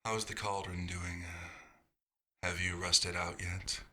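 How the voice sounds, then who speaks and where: A quiet, nostalgic vampire doctor, played by a man in an ASMR roleplay. His voice sits very low and stays nearly flat, with a very sharp, bright edge. He murmurs to himself without hurry, fussy and gently wistful. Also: heavily acted. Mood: calm, musing. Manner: unhurried, murmuring to himself with pauses.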